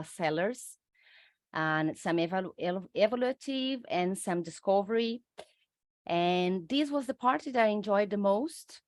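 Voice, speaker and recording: A middle-aged Brazilian woman, a native Portuguese speaker, answering interview questions in English with a Brazilian accent, careful and measured, with frequent hesitations. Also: mid-range voice, dry recording, studio quality. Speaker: UX researcher guest.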